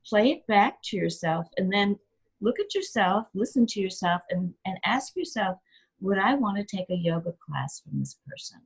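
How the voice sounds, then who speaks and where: A woman's voice: mid-range voice, big pitch swings, normal speed, breathy edge, low-quality recording. A middle-aged woman, a yoga teacher and singer, giving earnest, encouraging advice in an interview and repeating her key phrases.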